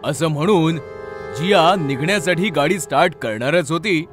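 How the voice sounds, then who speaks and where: A man's voice: fairly high pitch, normal speed. A man narrating a scripted horror story in a dramatic style. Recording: very loud music under the voice.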